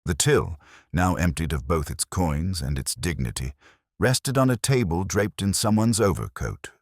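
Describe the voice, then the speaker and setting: A man narrating a genteel comic mystery story in a measured, literary and dryly understated manner. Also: low voice, expressive, no echo, clean studio sound.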